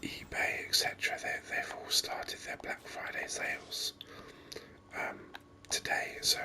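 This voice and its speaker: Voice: pushed and bright, rough recording. A gaming host whispering ASMR commentary very close to the microphone in a rambling, hesitant way. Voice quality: breathy unvoiced whisper. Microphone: microphone very close to the mouth. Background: voice-over on game screen capture.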